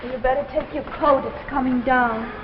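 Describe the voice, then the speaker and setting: An actress playing a worried, firm wife, earnest and pleading, in old radio drama style. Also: female voice, sits high, steady tone, moderate pace, noisy background, close to an everyday voice. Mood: stern. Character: worried, firm wife giving her husband an ultimatum. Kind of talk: 1950s radio drama acting. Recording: dull old radio recording.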